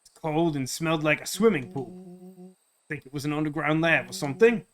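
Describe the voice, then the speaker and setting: A man's voice: a little high, moderate pace, fairly bright tone, lightly acted. Man doing a laid-back Australian-sounding nice villain. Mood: casual recounting. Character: big grown-up villain, disarmingly nice and easygoing.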